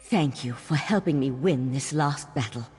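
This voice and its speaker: An actress playing a solemn, dutiful mage of a secretive order in a fantasy game, earnest and measured. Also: female voice, very deep voice, darkish tone, breathy edge, full character voice. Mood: grateful, confiding. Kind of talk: fantasy video game voice acting. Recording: long hall reverb, music behind.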